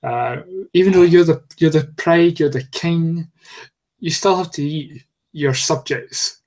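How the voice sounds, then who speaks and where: A man's voice: on the high side, unhurried, a little mellow. A man co-hosting a podcast, chatting casually in a joking, rambling way. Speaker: podcast co-host. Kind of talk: casual chat.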